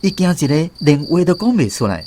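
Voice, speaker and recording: A man with a Taiwanese accent narrating a scripted radio drama in an expressive, dramatic way. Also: a little high, a little fast, a little hiss or hum.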